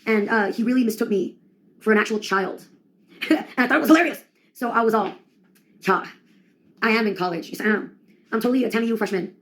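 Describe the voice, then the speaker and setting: A young woman comedian giving a rambling monologue in a deadpan, ironic, slangy way. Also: on the high side, fairly quick, pauses often, slight background noise.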